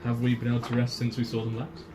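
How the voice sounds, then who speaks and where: A man's voice: mid-range voice, monotone, fast. A man chatting casually with fellow players during a tabletop role-playing game. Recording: clear music behind the voice. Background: background music.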